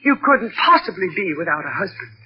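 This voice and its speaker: A woman's voice: medium pitch, big pitch swings, fairly quick, a little hiss or hum, close to an everyday voice. A woman playing a bitter, haunted daughter near breaking point, intense and melodramatic in the style of 1940s radio drama. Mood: bitter, accusing. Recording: dull old radio recording, faint music.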